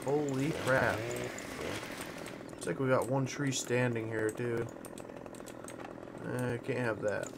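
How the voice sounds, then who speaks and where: A man streaming a game, chatting in a relaxed, rambling way and chuckling now and then, his voice congested from a stuffed-up nose. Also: medium pitch, slow, long pauses. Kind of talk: casual commentary while playing. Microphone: streaming mic covered with a sock. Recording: loud game noise behind voice. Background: chainsaw and vehicle sounds from the game.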